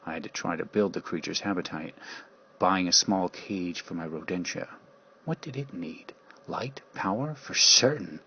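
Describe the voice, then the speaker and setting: Gravelly, low-voiced man narrating dramatically. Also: fairly bright tone, highs cut off. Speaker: storyteller narrator. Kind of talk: dramatic first-person fan fiction narration.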